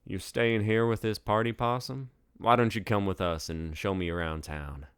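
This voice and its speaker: A cocky male tourist from an audiobook-style story, acted by a man, casual and flirtatious. He coaxes in a low voice. The sound is dry and close. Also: steady tone, moderate pace, clear, resonant tone, no echo, lightly acted. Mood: cajoling, flirty. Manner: casual, cajoling.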